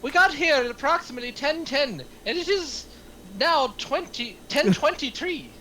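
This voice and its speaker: A woman's voice: sits high, lively intonation, normal speed, pushed and bright, slight background noise, rough recording. A woman playing a composed, professional Irishman in his early thirties with an Irish accent in a script reading. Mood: matter-of-fact. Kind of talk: amateur screenplay table read.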